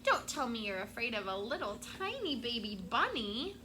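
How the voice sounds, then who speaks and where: A woman's voice: fairly high pitch, sing-song, normal speed, background noise. A woman teacher voicing a cheerful female pet owner in a storybook read-aloud, coaxing and sing-song. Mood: exasperated.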